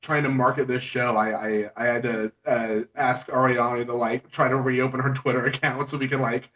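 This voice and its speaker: A man's medium-pitched voice, picked up at some distance from the mic. He hosts a casual interview chat. His manner is friendly and eager. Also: level tone, normal speed, fairly bright tone. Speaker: podcast host and film reviewer.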